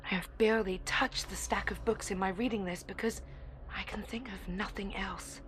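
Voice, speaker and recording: An actress plays a young woman at university in an audio drama, naive and easily smitten. Her medium-pitched voice is earnest and confiding, like a diary being spoken aloud. There is clear ambient noise behind the recording. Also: fairly even intonation, moderate pace, natural voice. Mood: infatuated, wistful. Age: young adult. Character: eager, naive, infatuated young female university student. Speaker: actor.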